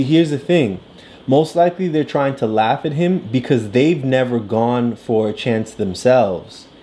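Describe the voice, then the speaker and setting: A woman's voice: very deep voice, mellow. A woman talking a child through a picture book, encouraging and lecturing, often checking in with "right?". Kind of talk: casual coaching talk about a story.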